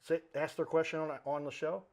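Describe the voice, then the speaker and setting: Fast-talking, higher-pitched middle-aged man hosting. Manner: chatty, animated storytelling with asides and quoted dialogue. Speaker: talk show host. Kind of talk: casual conversational interview.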